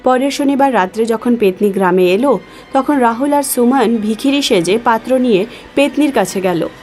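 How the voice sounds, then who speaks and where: A woman's voice: a little high, normal speed, darkish tone, soft background music. A woman reading scripted story narration as the narrator.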